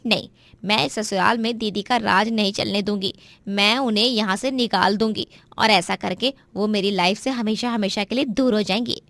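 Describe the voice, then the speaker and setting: A woman's voice: medium pitch, normal speed, fairly bright tone, dry recording, close to an everyday voice. A woman voicing a jealous, scheming young daughter-in-law in a moral story, with a plotting, gloating manner. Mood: resentful. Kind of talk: Hindi moral story voice acting.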